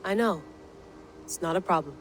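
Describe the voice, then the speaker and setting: An actress playing a troubled, guarded field operative in a video game, earnest and uneasy, speaking hesitantly. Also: female voice, lowish voice, moderate pace, noisy background, natural voice. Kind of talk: naturalistic video game acting. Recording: music playing behind the voice.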